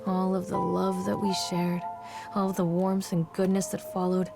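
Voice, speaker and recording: A woman's voice: lowish voice, monotone, moderate pace, creaky, music in the background. An actress playing a troubled, guilt-ridden mother, delivering a dramatic scripted monologue in a brooding, intense, confessional manner for a video game. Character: troubled, guilt-ridden mother searching for her lost son. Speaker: narrator and main character of a video game.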